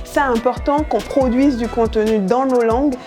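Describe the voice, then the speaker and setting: A woman's voice: fairly high pitch, steady tone, moderate pace, mellow, soft background music. A young woman whose first language is Yoruba, speaking earnestly and thoughtfully about her work as a journalist, with frequent hesitant fillers. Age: young adult. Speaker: journalist and editorial project lead.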